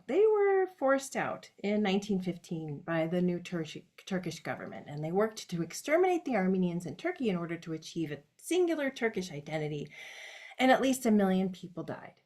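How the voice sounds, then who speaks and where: A woman's voice: medium pitch, big pitch swings, a little fast, a little mellow. A woman performing a reflective autobiographical monologue on stage, speaking directly to her grandfather.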